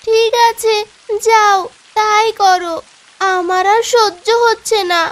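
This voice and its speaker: A woman's voice: extremely high pitch, steady tone, slow. A woman voicing a sweet little village daughter devoted to her father in a cartoon, childlike and plaintive. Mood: hungry, whining. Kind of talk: Bengali fairy-tale cartoon dubbing.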